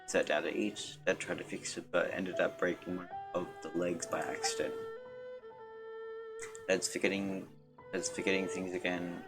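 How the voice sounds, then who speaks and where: Low monotone guy streaming, reading game text out loud kinda halting, music in the back. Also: rough recording. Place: livestream with game music behind.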